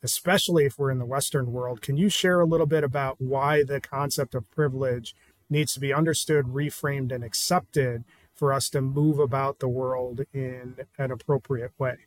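A man's voice: medium pitch, steady tone, moderate pace, dry recording. A man hosting a podcast, asking a guest questions in a friendly, easygoing, chatty way. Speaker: podcast host. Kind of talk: interviewing a guest.

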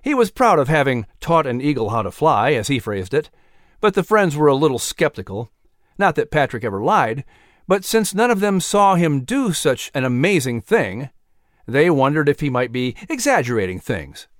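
A man narrating a children's audiobook in an expressive storytelling manner. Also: on the high side, moderate pace, dry recording, studio quality.

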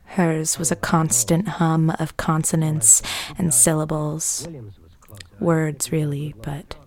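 A woman acting as a slow, hushed, haunted woman diarist. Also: sits low, flat delivery. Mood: haunted, confiding. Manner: hushed, breathy half-whisper, deliberate pauses. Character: troubled woman diarist, brooding and haunted, confiding secrets. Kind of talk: audio drama monologue, intimate narration.

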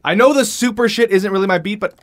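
A man's voice: high voice, fast talker, a bit bright. A man playing a brash, pushy news reporter, insistent and familiar, in audio drama dialogue. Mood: probing, urgent.

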